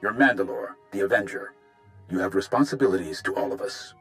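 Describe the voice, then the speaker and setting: Casting: proud, gruff sci-fi warrior for a game, deep register, expressive swings, plain-spoken and formal. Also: male voice, faint music behind. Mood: stern, proud. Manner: blunt, formal, measured. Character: gruff, loyal, blunt Mandalorian warrior man, clan enforcer.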